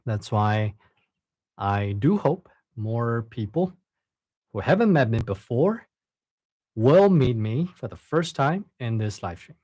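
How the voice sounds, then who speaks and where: A young man with a Chinese accent, a native Chinese speaker chatting casually on a live stream, soft-spoken and hesitant, often repeating words. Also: medium pitch, big pitch swings, takes it slowly, dry recording. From China. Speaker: live streamer reading stories.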